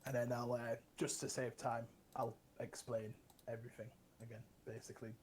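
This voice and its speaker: A man's voice: medium pitch, level tone, moderate pace, slight background noise. A man speaking as a player in a tabletop game, hesitant and plain. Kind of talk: casual tabletop play.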